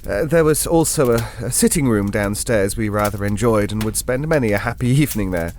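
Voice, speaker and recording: A man's voice: on the low side, lively intonation, fairly quick, darkish tone, a little hiss or hum, close to an everyday voice. An actor playing a genteel older Englishman, telling a ghost story in an audio drama in a hesitant, digressive, politely formal and wistful way.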